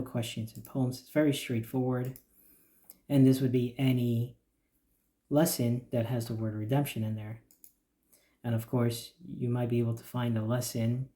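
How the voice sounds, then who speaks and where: A man explaining the features of a church website in a steady, plain walkthrough with occasional fillers. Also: medium pitch. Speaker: church website developer giving a demo. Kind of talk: screen-recorded software walkthrough.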